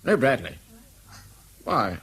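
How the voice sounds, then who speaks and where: An older British schoolmaster in a radio play. His voice is gravelly and lively in pitch. He grumbles and muses in old-fashioned turns of phrase. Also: slow, heavily acted. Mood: musing, curious. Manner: old-fashioned, musing wording. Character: grumbling, chatty old-guard male schoolmaster. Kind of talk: radio drama acting.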